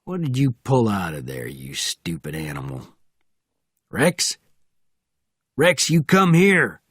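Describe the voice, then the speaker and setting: A man's voice: a bass voice, big pitch swings, moderate pace, darkish tone, breathy edge, no room sound, clean studio sound, voice pushed down, exaggerated voice. A man voicing a Southern US guard driver who scolds like a dog handler, in an audiobook thriller.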